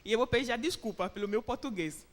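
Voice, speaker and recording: A woman's voice: on the high side, fast talker, in a large hall. Woman preaching. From Benin. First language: French. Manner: earnest, with hesitant restarts and fillers. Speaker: missionary preacher. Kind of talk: sermon and prayer. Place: church sanctuary with a PA.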